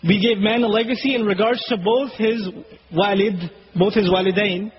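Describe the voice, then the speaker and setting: A man whose first language is Urdu, giving an Islamic lecture. He explains Arabic words slowly and recites Quranic verses quickly. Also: fairly even intonation. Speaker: Islamic preacher. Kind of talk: religious lecture. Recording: dull low-fidelity recording with faint hiss.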